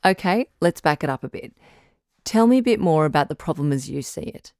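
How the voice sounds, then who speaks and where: An actress playing a patient woman advice-show doctor in an audio drama, measured, tactful and reassuring. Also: deep voice, expressive, darkish tone, no room sound, studio quality. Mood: attentive.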